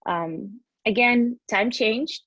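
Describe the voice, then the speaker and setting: A woman's voice: a little high, drawn-out words, a bit bright, no echo, muffled recording. A woman in her thirties with a Russian accent, telling her story casually and expressively as a podcast guest, in a chatty way. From Western Siberia, Russia.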